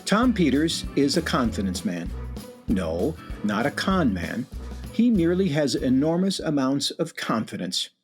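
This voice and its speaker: Radio play narrator: theatrical, knowing, richly varied intonation, music bed beneath. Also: male voice, mid-range voice, big pitch swings. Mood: wry, knowing. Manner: wry, deliberate, theatrical. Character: wry, measured male storyteller narrator.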